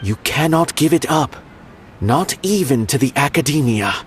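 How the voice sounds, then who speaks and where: An actor playing an older, earnest theater elder in a game, speaking in a solemn, encouraging way. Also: male voice, on the high side, expressive, moderate pace, a little hiss or hum, close to an everyday voice.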